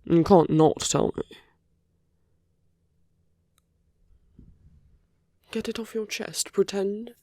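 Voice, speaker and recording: The silky villain of a romantic audio drama, acted by a man, murmuring taunts and flirtations right at a rival's ear, husky, soft and dry. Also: high voice, no room sound. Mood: coaxing, flirtatious. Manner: soft, breathy, often dropping to a whisper. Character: suave, teasing male villain, smitten with his heroic enemy. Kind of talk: ASMR roleplay acting.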